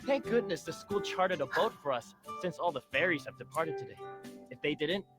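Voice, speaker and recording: An actor playing a relieved young university student in a game, chatty and expressive. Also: mid-range voice, a little fast, over music, natural voice. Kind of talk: scripted game dialogue.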